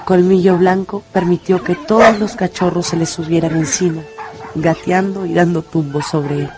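An actress narrating an adventure novel as a storyteller in a dramatized radio audiobook. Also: female voice, fairly low pitch, fairly even intonation, moderate pace, heavy background noise, lightly acted. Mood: tender storytelling.

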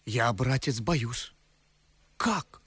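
Radio drama role: a coolly ironic young gentleman, unhurried yet expressive, close dry studio sound. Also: medium pitch, slightly rough, dry recording, exaggerated voice. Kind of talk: theatrical radio play verse acting.

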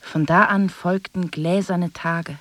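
An actress playing a solemn storyteller, narrating a poetic radio drama in a measured, deliberate way. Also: female voice, lowish voice, steady tone, fairly bright tone, no echo. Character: solemn storyteller of a strange universe.

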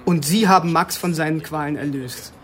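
An actor playing a young, politely persistent police officer in a radio drama, matter-of-fact and probing. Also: male voice, a little high, normal speed, fairly bright tone, soft background music, lightly acted. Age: young adult. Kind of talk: naturalistic radio drama dialogue.

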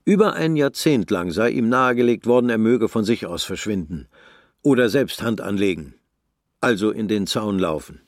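A man narrating a literary radio play in a measured, even way. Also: lowish voice, no echo, studio quality.

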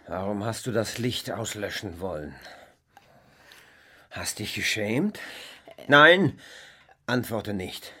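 Tormented thirties bridegroom in a radio play, husky, slow, brooding and bitter. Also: male voice, lowish voice, expressive, pushed and bright, exaggerated voice. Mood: bitter, accusing. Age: 30s. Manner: anguished, brooding, halting. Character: bitter, self-loathing crippled bridegroom in his thirties. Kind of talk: theatrical German radio drama acting.

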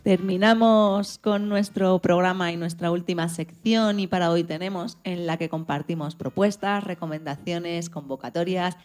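A woman host addresses radio listeners as she presents the segments. Her voice is slightly low and dark in tone, with quiet music in the background.

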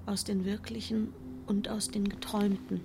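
An actress playing a calm, thoughtful wife in a modern radio play, quiet and intimate, often near a whisper. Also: female voice, medium pitch, normal speed, vocal fry, noisy background, natural voice. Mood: pensive, frank.

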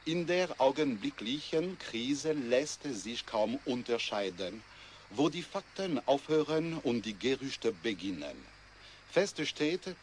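A man playing a sober, worried news commentator, speaking in a measured, grave way in a radio play. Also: medium pitch. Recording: faint background noise.

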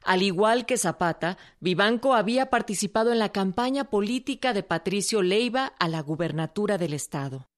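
A woman's voice: medium pitch, animated, normal speed, few pauses. A woman delivering scripted narration for a historical radio drama.

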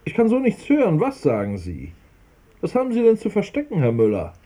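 An actor playing a pedantic, easily exasperated doctor who scolds and commands in a radio play. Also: male voice, sits high, wildly swooping pitch, brisk, mellow, heavily acted. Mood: impatient. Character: exasperated pedantic male doctor, quickly indignant. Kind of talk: German radio play acting.

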